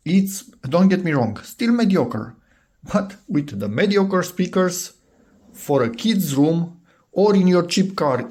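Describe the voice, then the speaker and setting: A man's voice: mid-range voice, creaky, darkish tone. A man presenting a DIY electronics test, informally thinking aloud as he goes. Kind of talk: casual hands-on product test commentary.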